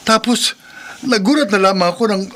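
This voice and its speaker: A man's voice: high voice, brisk, bright, forceful tone. An actor playing a frightened, bewildered taxi driver and earnest husband in a Filipino radio drama, stammering as he pleads. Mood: earnest, troubled.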